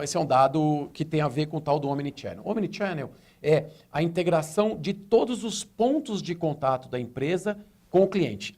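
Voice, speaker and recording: A man's voice: fairly high pitch, darkish tone. A man with a Brazilian Portuguese accent, a retail consultant answering interview questions in a fluent, explanatory way on a business TV show. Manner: fluent, explanatory, tells anecdotes.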